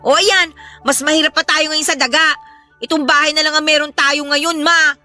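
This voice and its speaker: Young adult Filipino daughter, aggrieved and self-defending, for radio-style melodrama. High, bright, sharp tone. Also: female voice, fairly even intonation, moderate pace, faint music behind. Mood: defensive, reproachful. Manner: complaining, self-defending, politely reproachful. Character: put-upon, clumsy, frank young Filipino daughter. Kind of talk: melodramatic Filipino audio drama acting.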